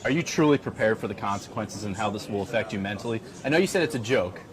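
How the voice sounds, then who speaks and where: A man's voice: on the low side, animated, fast talker. A man interviewing in a mock-serious, probing way on a comedy radio show. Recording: crowd chatter in the background.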